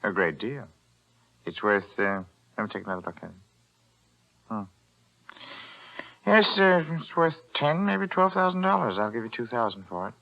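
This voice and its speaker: A man's voice: fairly low pitch, big pitch swings, moderate pace, gravelly, long pauses. An American actor playing a shrewd, grasping pawnbroker in a 1940s radio thriller, hemming and reasoning slyly as he drives a bargain. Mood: appraising, sly. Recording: muffled old 1940s radio recording.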